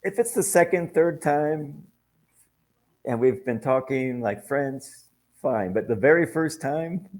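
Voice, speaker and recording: A man's voice: a little high, animated, slow, a little mellow. An American man teaching English in a casual video-call chat, telling stories with lots of "you know.". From United States. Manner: friendly, anecdotal, many fillers. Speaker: English tutor. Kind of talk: casual conversation lesson.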